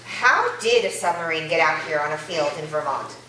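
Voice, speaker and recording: A woman playing a skeptical college student, reading a stage play in a plain, measured way. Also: low-pitched, expressive, pushed and bright. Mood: measured, sincere. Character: skeptical female college student aboard a submarine. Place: echoey room, staged reading. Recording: very echoey room, far off, faint noise.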